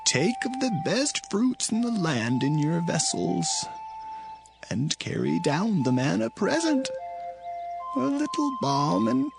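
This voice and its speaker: A sorrowful old father, voiced by a man, speaks in slow, drawn-out lines with a gravelly rasp. His voice rises and falls widely over a music bed. He sounds weary and grieving. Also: high voice, big pitch swings, heavily acted. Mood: resigned. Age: older. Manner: slow, mournful, sorrowful delivery. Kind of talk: dramatized scripture reading.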